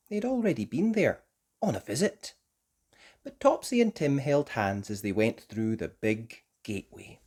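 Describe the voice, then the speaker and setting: Children's story reader, mid-pitched voice, highly varied intonation, expressive narration.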